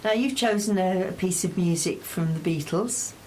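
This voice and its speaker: Female radio interviewer, low-pitched voice, conversational questioning, slight rumbly background noise. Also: normal speed, a little mellow, poor audio.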